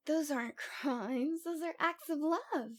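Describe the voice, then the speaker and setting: A woman's voice: sits high, takes it slowly. A woman playing a cute, lovesick young stalker girl in an ASMR roleplay, with a coy, pouty, dramatic delivery. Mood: self-righteous, defensive.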